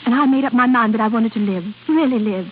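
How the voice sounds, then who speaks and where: A woman's voice: fairly high pitch, fast talker, natural voice. Woman playing a proud, coy opera singer, vintage radio. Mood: earnest, conflicted. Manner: theatrical, coy. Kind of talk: 1930s radio drama acting. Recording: tinny crackly 1930s radio broadcast, faint hiss.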